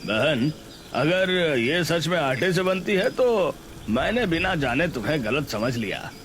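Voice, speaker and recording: A man's voice: a little high, very animated, unhurried, fairly bright tone, slight background noise, exaggerated voice. A man voicing a wary but fair-minded male food-stall customer in a cartoon. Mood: impressed. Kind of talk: cartoon dialogue acting. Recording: background music under voice.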